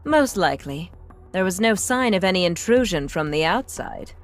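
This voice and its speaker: A woman's voice: lowish voice, animated, moderate pace, lightly acted. Woman voicing a poised, regal queen commander. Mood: calm explaining. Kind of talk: English video game dub acting. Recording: music behind the voice.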